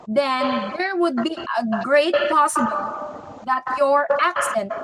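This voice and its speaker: Woman teacher, high voice, slow asking style, echoey classroom, noisy. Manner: slow, questioning, checks with "okay?". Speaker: English teacher. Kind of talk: teacher training workshop talk. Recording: echoey room with loud noise.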